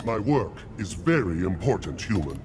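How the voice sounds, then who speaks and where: An actor playing a pompous, bitter alien scientist with a grandiose manner, in a video game. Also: male voice, deep voice, big pitch swings, normal speed. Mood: haughty, impatient. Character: pompous, bitter alien krogan scientist obsessed with explosive weapons. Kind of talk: video game character dialogue.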